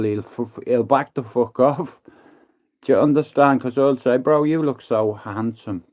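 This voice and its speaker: A man, living in Manchester, telling a rambling, profane story on a livestream and acting out his own lines to others. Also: medium pitch. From Ireland. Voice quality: profane, slangy, calls everyone bro. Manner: animated, acts out his own threats and taunts in hushed voice. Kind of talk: casual storytelling monologue.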